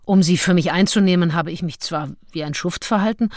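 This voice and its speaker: A woman's voice: lowish voice, fairly quick, darkish tone, no room sound, clean studio sound, close to an everyday voice. An actress playing a scheming, self-aware nineteenth-century female seducer in a confessional, theatrical radio-drama monologue. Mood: cool, calculating. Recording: music behind the voice.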